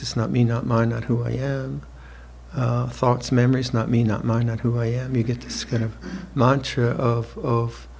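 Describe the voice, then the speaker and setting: A monk in his seventies gives an evening dharma talk, speaking slowly and reflectively with gentle restarts and soft laughter. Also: mid-range voice, gravelly. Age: 70s. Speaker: monk teacher. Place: retreat hall with listeners.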